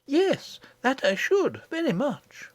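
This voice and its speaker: An innocent little storybook princess, acted out by a woman, speaking very high with big swoops of pitch. She is eager, frank and easily bewildered, full of childlike questions. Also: wildly swooping pitch, slow pace, no room sound, heavily acted. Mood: puzzled, curious. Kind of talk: storybook audiobook character voice.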